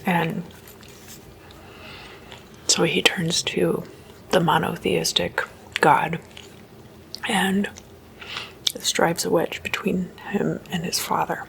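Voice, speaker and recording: A woman whispers an ASMR story in a hushed, informal explanatory manner. Her delivery is very slow and stays quite even, with little melodic movement. A gravelly creak runs through the voice. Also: fairly low pitch, slight background noise. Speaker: host of a spooky ASMR series.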